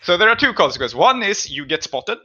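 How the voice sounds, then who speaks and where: A man's voice: sits high, very animated, fairly quick, pushed and bright, husky, muffled recording. A man acting as game master in a tabletop roleplaying game, explaining rulings and narrating in a conversational way.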